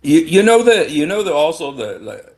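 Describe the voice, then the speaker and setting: A man, raised in the United States, talking in a casual online panel discussion, emphatic and excitable, often restarting and repeating words. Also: fairly high pitch, moderate pace. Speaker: panel guest.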